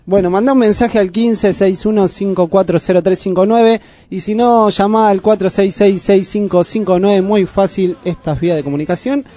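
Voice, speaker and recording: A man's voice: sits high, moderate pace, hardly any pauses, warm tone, soft background music. A man with an Argentine accent hosting a casual community radio show, chatty and teasing. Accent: Argentine Spanish. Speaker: radio host. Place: radio studio.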